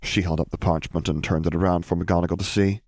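A man's voice: deep voice, fairly even intonation, fast, breathy edge, no room sound, studio quality. A man narrating a scripted story as an audiobook reader.

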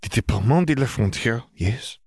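An unfussy French woman official, played by a man in an audiobook. She talks casually in slightly halting foreign phrasing, with lively pitch and a dry, close sound. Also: husky, dry recording. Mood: matter-of-fact.

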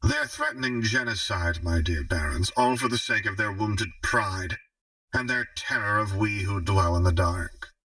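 Audiobook villainess baron, played by a man: low, formal, commanding, very animated pitch, slightly off mic. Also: a little slow, no room sound, distant mic, exaggerated voice, low-quality recording. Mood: grim, defiant. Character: shrewd, commanding female underworld baron, grim and sneering.

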